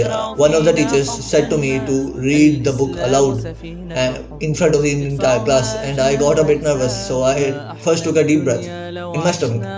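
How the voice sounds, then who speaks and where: A young man giving earnest, casual advice, with repeated "bro" and "like" and small restarts. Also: medium pitch. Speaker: student giving self-help advice. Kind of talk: casual advice talk to camera. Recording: distant mic with clear background music. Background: nasheed vocals.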